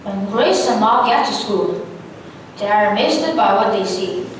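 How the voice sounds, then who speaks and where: A woman's voice: animated, measured pace. A young woman reading scripted narration on stage, heard from a distance in an echoey hall. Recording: echoey hall, distant mic, faint rumble.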